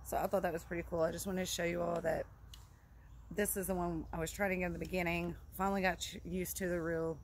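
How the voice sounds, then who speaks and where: A woman's voice: on the low side, level tone, quick pace. A woman in her thirties giving a casual, rambling product review to camera outdoors, in an informal way that often repeats itself. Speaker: vlogger reviewing fishing gear. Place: outdoors in a leafy yard by a log building. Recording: clear outdoor background noise.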